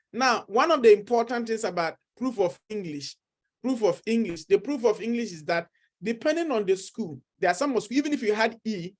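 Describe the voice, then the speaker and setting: Earnest man with a Ghanaian accent. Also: high voice. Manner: earnest, repetitive, encouraging. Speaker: study-abroad consultant. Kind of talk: persuasive talk to camera.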